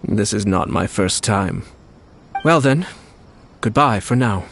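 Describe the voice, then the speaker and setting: A calm scheming young noble. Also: male voice, fairly low pitch, a little hiss or hum, exaggerated voice. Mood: composed. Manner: measured, smooth, quietly confident. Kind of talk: video game voice acting. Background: game music.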